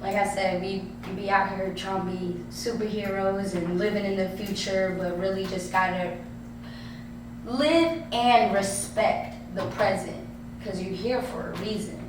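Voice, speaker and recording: A woman's voice: mid-range voice, fairly even intonation, a little slow. Thirty-year-old woman artist, echoey room. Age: 30s. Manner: earnest, advice-giving. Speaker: guest artist. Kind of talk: casual chat. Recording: echoey room, far from mic, noisy.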